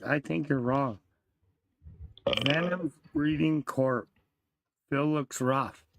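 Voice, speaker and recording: A man's voice: on the high side, takes it slowly, noisy background. A man from Alberta, a stream guest sitting outdoors, talking in a laid-back, hesitant way. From Alberta, Canada. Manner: laid-back, slightly gravelly, hesitant fillers. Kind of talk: casual livestream banter.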